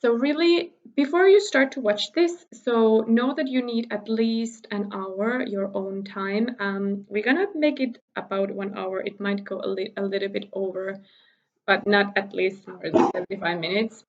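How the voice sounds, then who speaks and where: A woman from Finland talks to her viewers in English, chatty and warm-hearted, stopping for fillers and laughs. Her mid-pitched voice has a gravelly edge of vocal fry. The recording is muffled, like a simple home setup on a camera or phone mic. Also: normal speed. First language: Finnish. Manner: chatty, laughs often, many fillers and restarts. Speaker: spiritual workshop teacher. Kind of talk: casual recorded workshop talk.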